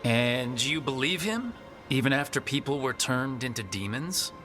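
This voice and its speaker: An actor voicing a sceptical adventuring hero in a video game, direct and questioning with a wry edge. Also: male voice, mid-range voice, animated, normal speed, bright, forceful tone. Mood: challenging. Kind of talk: video game dialogue acting. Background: music.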